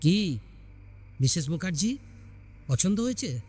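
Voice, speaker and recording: A man as a devoted young husband, fairly high voice. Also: sing-song, a little slow, darkish tone, breathy edge, full character voice. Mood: playful. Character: devoted, playful young husband, anxious for his wife.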